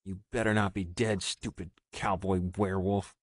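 A man voicing a nervous young man who talks in a rushed, frantic way and stammers. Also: low voice, level tone, no echo, studio quality. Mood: muttering.

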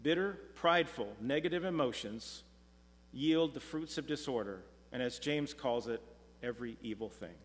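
Male pastor, middle-aged, earnest measured sermon, mid-pitched voice, long church reverb. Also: lively intonation. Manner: measured, earnest preaching, slowing for scripture. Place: church sanctuary, speaking from a pulpit. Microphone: pulpit mic, far camera.

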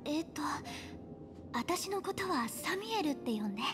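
A woman voicing a gentle, determined young girl in a video game, soft-spoken and sincere. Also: extremely high pitch, very animated, normal speed. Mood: earnest. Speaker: game voice actor. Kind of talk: Japanese game story dialogue. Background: game background music.

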